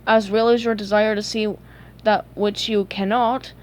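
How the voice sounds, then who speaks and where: A woman voicing a mystical, laid-back male talking tumbleweed with a measured, cryptic way of speaking. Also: mid-range voice, flat delivery, close to an everyday voice. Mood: serene. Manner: cryptic, measured, mildly exasperated.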